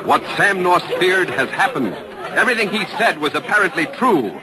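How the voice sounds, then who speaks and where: Urgent vintage radio reporter, fairly high voice, articulate live commentary, murmuring crowd behind. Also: male voice, expressive, moderate pace, rough recording. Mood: grave disbelief. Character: earnest radio news reporter at a tense trial.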